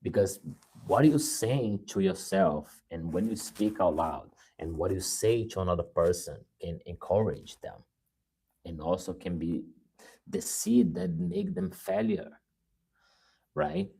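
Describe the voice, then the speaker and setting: A man's voice: low-pitched, expressive, a little slow, dark tone, breathy edge. A man from Brazil speaking English with a Brazilian Portuguese accent, giving an earnest motivational talk on a live stream and often asking "right?". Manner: earnest, repeats words and restarts, asks "right?".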